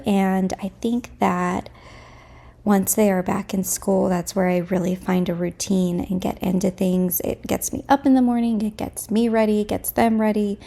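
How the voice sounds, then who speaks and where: Woman, 30s, home vlogger. Casual, open chat to camera. Slightly stuffed up, with a rough, creaky edge to the voice. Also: medium pitch, dark tone. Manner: candid and chatty, thinking aloud.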